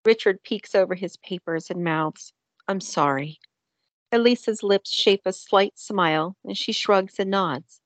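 A woman's voice: mid-range voice, a little slow, no room sound. Woman narrator with a dry, close sound. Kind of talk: scripted radio play narration.

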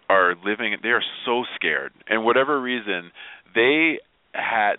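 A man's voice: on the low side, dry recording. A man being interviewed as a guest, explaining in a conversational, emphatic way and repeating phrases for emphasis. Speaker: guest, workplace positivity trainer and speaker. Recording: narrow phone-like band, dry.

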